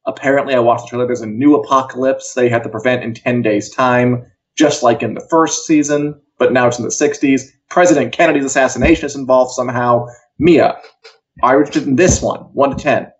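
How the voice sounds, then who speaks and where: A man's voice: medium pitch, fairly even intonation, a little fast. A man co-hosting a casual pop culture news chat in a wry, jokey, chatty way, over a video call. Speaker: co-host of a pop culture live show. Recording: dull, lo-fi sound.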